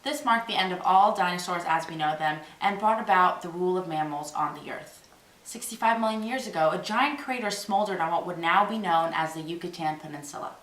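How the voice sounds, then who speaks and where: A woman narrates a scripted documentary. Her voice sits a little low. The sound is roomy and echoing, picked up at some distance from the microphone. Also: a little fast, fairly bright tone.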